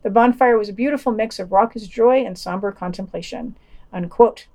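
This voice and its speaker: A woman's voice: mid-range voice, fairly quick, dark tone. A woman hosting a podcast, reading a scripted news digest with quotes and commentary in a dry, wry manner.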